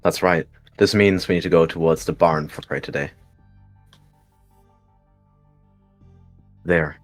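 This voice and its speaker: A man voicing a stern but gentle adult male warrior cat, a mentor giving measured instruction in a roleplay. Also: low-pitched, faint music behind, lightly acted. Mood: encouraging.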